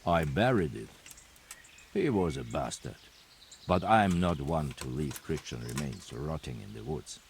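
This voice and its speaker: A grim, stern knight with a very low voice, giving blunt reports. Faint outdoor noise sits behind him in this game cutscene. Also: male voice, lively intonation, measured pace, full character voice. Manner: blunt, matter-of-fact reporting. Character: grim male knight investigating counterfeiters. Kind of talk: video game cutscene acting.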